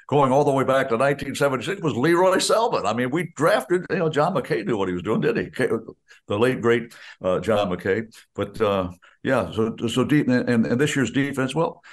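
A man's voice: medium pitch, lively intonation, moderate pace. An older American man, a radio play-by-play announcer, chatting about football in a rambling way, full of restarts and asides. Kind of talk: casual sports radio chat.

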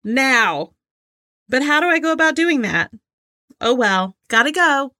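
A woman in her forties with a very bright voice, recorded close and dry. She talks like a casual podcast co-host, informal and giggly, moving between chat and reading aloud. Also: high-pitched, sing-song, unhurried, dry recording. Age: 40s.

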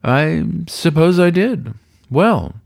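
A man's voice: fairly high pitch, very animated, slow pace, darkish tone, voice pushed up, exaggerated voice. A man voicing a seductive, courtly weasel who is master of a haunted hotel, speaking in somber, whispered storybook dialogue. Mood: amused, resigned.

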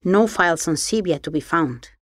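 A woman hosting an investigative documentary, giving measured, serious scripted narration. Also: fairly low pitch, expressive. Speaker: documentary podcast host and narrator.

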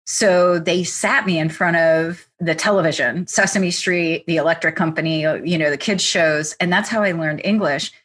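A woman's voice: on the low side, moderate pace, few pauses, fairly bright tone. A middle-aged woman, born in Italy and raised in New York State, chatting as a guest about her past on a podcast. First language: Italian. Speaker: guest, philosopher. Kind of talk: casual interview.

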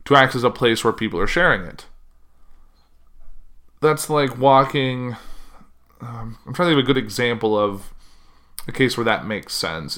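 A man hosting a podcast, talking casually and rambling, with a loud voice that carries and frequent self-corrections. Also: medium pitch. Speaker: podcast host. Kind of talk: casual solo chat.

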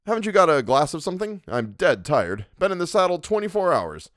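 A man's voice: fairly high pitch, very animated, brisk, fairly bright tone, dry recording, clean studio sound, voice pushed up. A man voicing a jaunty young male cavalry officer in an audiobook, with a brash, teasing, breezy manner.

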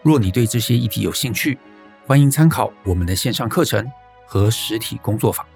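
Man reading a podcast outro, fairly low voice, faint music under it. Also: expressive, fairly quick, vocal fry, darkish tone, breathy edge. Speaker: announcer. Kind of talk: scripted promotional outro.

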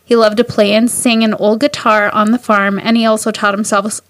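A woman's voice: medium pitch, flat delivery, brisk, a bit bright, dry recording. A woman co-hosting a podcast, telling a story in a giggly, bubbly casual way. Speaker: podcast co-host.

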